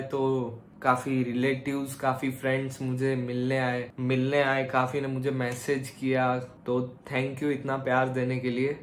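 A young man vlogging to the camera from a hospital room, telling his story in a relaxed, plain way. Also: medium pitch. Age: young adult. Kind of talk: casual vlog talk to camera. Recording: echoey room with loud background noise.

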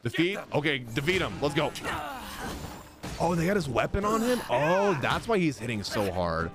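Animated male streamer, swinging pitch. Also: moderate pace. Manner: slangy, animated reactions. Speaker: game streamer. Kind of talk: casual live reaction commentary. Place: small indoor gaming room. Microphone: close desk microphone, headset on. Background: game music.

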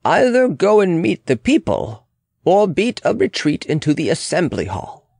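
A man's voice: high voice, huge pitch swings, normal speed, gravelly, a little mellow, husky, no echo, studio quality, voice pushed up, heavily acted. A man voicing a formal, deferential but insistent lawyer and court official in a dramatic audiobook. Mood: urgent. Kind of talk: dramatic audiobook character reading.

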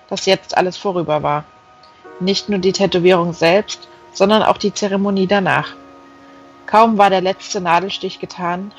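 Audiobook narrator, mid-pitched voice, reading literary prose with music underneath. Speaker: audiobook reader.

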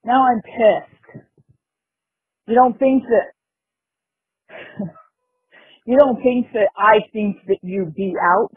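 A woman's voice: mid-range voice, takes it slowly, pauses often, breathy edge, no echo. A woman leaving a personal, conversational voicemail message, recorded over a lo-fi phone line.

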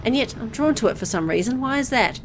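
A woman's voice: fairly high pitch, expressive, fast, background noise. A woman reading a first-person story aloud in a dramatic narrating style. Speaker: visual novel reader.

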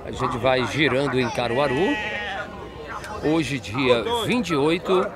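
A man's voice: mid-range voice, expressive, takes it slowly. A man walking an outdoor livestock fair, chatting with sellers and repeating prices to viewers. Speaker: market reporter host. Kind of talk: walking market vlog, interviewing sellers. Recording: busy outdoor market crowd. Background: crowd chatter and animals.

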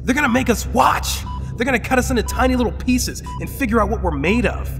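Guy doing a panicky cynical survivor, high swoopy voice, ranting, echoey hall and loud music. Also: lively intonation, fast talker, creaky, exaggerated voice. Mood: panicked, sarcastic. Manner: frantic, ranting, sarcastic. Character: panicky, cynical, self-preserving male survivor. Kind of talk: audio drama acting.

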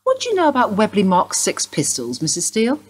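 A woman acting in a radio play as a stern police detective, formal, clipped and insistent, with a husky voice. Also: mid-range voice, huge pitch swings, a little fast. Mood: formal, probing. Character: stern, probing female police detective inspector.

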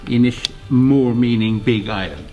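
A man's voice: medium pitch, lively intonation, highs cut off, soft background music. An Irish man, an actor, cheerfully introducing himself to his cast in a rehearsal studio. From Ireland, near Cork. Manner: slow, unhurried and cheerful. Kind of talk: casual group introduction.

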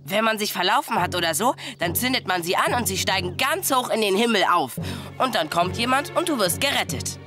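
A woman as a cocky, lively young boy. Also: mid-range voice, a little fast, close to an everyday voice. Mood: excited. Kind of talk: animated children's series dubbing. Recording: music behind the voice.

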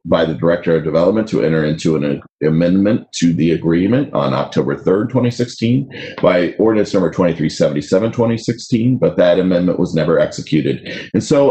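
A man's voice: sits low, warm tone, rough recording. A man in his thirties chairing a city council committee over a video call, formally reading legislation aloud. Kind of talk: formal council meeting proceedings. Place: home room on a video call.

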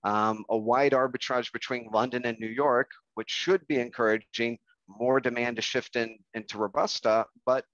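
A man's voice: mid-range voice, steady tone, normal speed, a bit bright, no echo. An American man in his forties giving an informal business presentation on a video call, conversational and self-deprecating. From Kansas City, United States. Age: 40s. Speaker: coffee industry research presenter. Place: indoor room, on a video call. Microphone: video call microphone. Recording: dull, compressed video call audio.

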